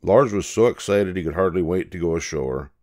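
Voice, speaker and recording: An older man narrating a children's picture book in a measured, expressive storytelling manner. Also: sits low. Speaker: storybook reader.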